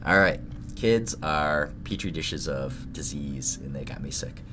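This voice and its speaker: A man in his thirties with a cold, coughing now and then, giving a relaxed, filler-heavy screen-share coding lesson over a headset in a home office. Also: a little slow, a little hiss or hum. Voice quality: deeper than usual from illness. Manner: relaxed, loose, self-deprecating, many fillers. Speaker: lecturer.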